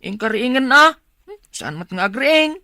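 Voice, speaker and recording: A woman's voice: high-pitched, expressive, moderate pace, pushed and bright, no room sound, full character voice. A woman playing an anxious, dutiful young grandchild in a radio drama, with a questioning manner and a northern Philippine accent. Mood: alarmed.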